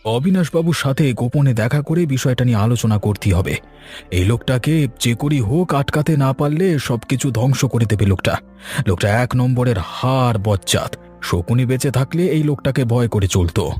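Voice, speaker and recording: A man playing a suspicious but loyal village orchard keeper in a cartoon story, husky and earnest. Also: medium pitch, animated, normal speed, darkish tone, soft background music, lightly acted. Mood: suspicious muttering.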